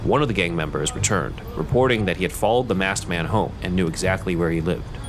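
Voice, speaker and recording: A man's voice: sits low, fairly quick, noisy background. A man giving scripted narration for an audio drama, as its narrator.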